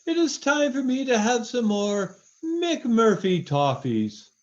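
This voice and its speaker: A man's voice: extremely high pitch, big pitch swings, takes it slowly, full character voice. A man playing a folksy, hammy old-time radio announcer, on a dull lo-fi recording. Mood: playful, salesy. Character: genial folksy male radio announcer plugging toffees. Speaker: announcer and narrator.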